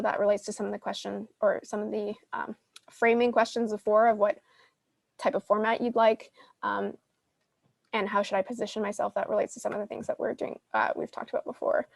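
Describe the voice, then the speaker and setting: A woman's voice: mid-range voice, flat delivery, a little fast, gravelly, no echo. Female session leader, mid-range voice, conversational advice-giving that works things out aloud, very dry close recording. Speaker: podcast host teaching a live Q&A session. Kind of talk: casual advice, answering audience questions.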